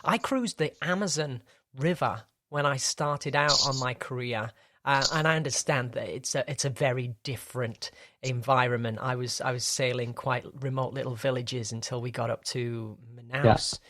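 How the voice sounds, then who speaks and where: Chatty host with a dry sound. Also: male voice, medium pitch, moderate pace, fairly bright tone, dry recording. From Britain. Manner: friendly, chatty, with frequent restarts. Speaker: podcast host. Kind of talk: casual podcast interview.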